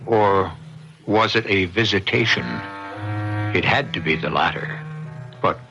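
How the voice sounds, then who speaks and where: A man narrating as host of a radio mystery, measured and portentous in dramatic scripted style. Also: low voice. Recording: dull old radio recording with music. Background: music.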